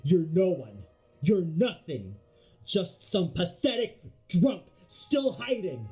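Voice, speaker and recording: Audio play role: bitter composer, played by a man, high-pitched in anger, scornful and accusing. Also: sing-song, takes it slowly, a little mellow, soft background music, full character voice. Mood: angry contempt. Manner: contemptuous, accusing. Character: bitter, furious composer berating his wife.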